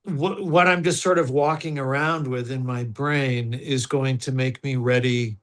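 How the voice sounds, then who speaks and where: A man's voice: mid-range voice, steady tone, unhurried. A man, an actor, reflecting on his working method in an interview and searching for the right words as he goes. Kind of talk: interview answers.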